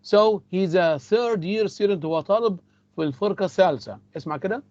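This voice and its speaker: A man's voice: high voice, racing, a little mellow, no room sound, lo-fi sound. A man with an Egyptian accent teaching an English class, repeating phrases and mixing in Arabic. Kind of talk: classroom lecture.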